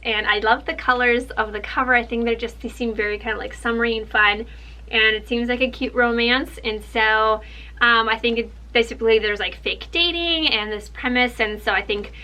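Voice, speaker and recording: A young woman whose first language is Russian, chatting excitedly through a casual book haul with plenty of "like" and "um". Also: on the high side, poor audio. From Ukraine. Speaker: book vlogger.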